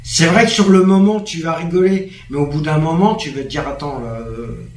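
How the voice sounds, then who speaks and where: Male guest, slightly high, agreeable, trailing fillers, echoey. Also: normal speed. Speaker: panel guest. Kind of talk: casual studio discussion. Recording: echoey room, faint background noise.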